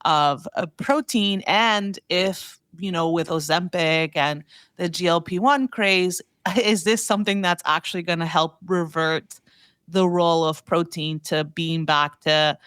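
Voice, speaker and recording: Woman, lowish voice, casual podcast guest, informal rambling delivery, lots of "like", close dry recording. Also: measured pace, fairly bright tone, clear, resonant tone, no echo. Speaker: podcast guest, food trends newsletter writer. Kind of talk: casual conversational commentary.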